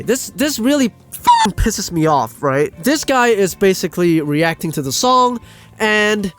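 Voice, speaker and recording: A man's voice: a little high, a bit bright. A man giving a casual ranting commentary as a video host, exasperated, emphatic and sweary, often repeating himself for stress.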